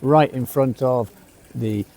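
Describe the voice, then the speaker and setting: Man, middle-aged, British, neutral accent, English teacher, relaxed chatty delivery, medium pitch, outdoor terrace. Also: slow pace. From England, West Midlands. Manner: relaxed, chatty, with fillers and asides. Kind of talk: casual spoken lesson to camera.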